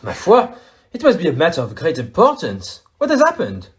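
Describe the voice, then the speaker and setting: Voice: mid-range voice, huge pitch swings, normal speed, lo-fi sound. A performer in an audio play acting a fussy, courteous, astute male detective with a French accent and formal, exclamatory manner. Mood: curious, startled.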